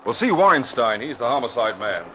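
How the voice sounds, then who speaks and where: A man's voice: mid-range voice, big pitch swings, moderate pace, bright, forceful tone. A man playing an earnest, flustered police commissioner in an old radio drama, speaking in 1940s radio acting style. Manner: earnest, dismayed. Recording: muffled old radio broadcast with faint hiss.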